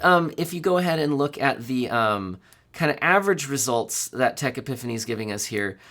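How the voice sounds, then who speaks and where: A man in his thirties with a hoarse voice from a cold, talking through hardware news in a casual, conversational way with fillers and self-corrections, recorded on a studio mic at home. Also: mid-range voice, a bit bright. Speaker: tech news host. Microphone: studio condenser mic.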